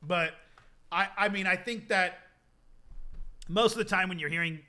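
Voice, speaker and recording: Bright high-voiced Midwestern American man. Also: moderate pace. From United States. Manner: rambling, many restarts, chuckles often. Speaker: streamer. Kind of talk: casual live-stream chat.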